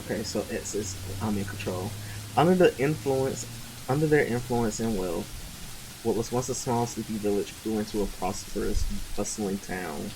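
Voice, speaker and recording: A man's voice: on the low side, moderate pace, background noise. A man streaming a horror visual novel, reading the narration and cracking loose, slangy jokes between lines. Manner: loose, joking asides with slang. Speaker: game streamer. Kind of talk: reading a visual novel aloud with casual commentary. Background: game music, rain and thunder.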